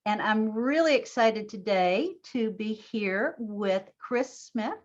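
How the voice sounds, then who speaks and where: A woman's voice: medium pitch, expressive, slow. Encouraging woman interviewer, muffled sound. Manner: warm, encouraging, with short affirmations. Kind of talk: oral history interview.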